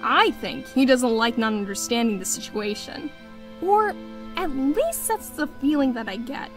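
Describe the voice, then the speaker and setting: A bubbly young female adventurer from a fantasy visual novel, acted out by a woman, speaks in a high, swooping voice. She is warm and playful with a caring streak, and music plays clearly behind her. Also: lively intonation, a little slow. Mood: reassuring. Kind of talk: visual novel character dialogue.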